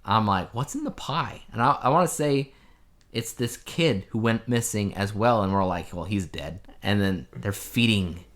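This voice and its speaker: A man with a fairly low voice talks as a podcast co-host. His style is casual and conversational, like someone recounting and reviewing things for a friend, with emphatic asides along the way. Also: moderate pace. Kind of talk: casual chat reviewing a TV show.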